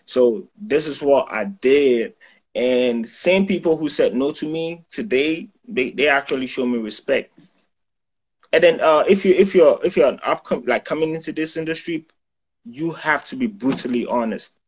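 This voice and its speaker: Husky young man on a video call. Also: mid-range voice, moderate pace, low-quality recording. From Ghana. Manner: casual slangy talk with many "yo" and "you know what I'm saying". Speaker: guest photographer and videographer. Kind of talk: casual interview chat. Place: indoors, small room.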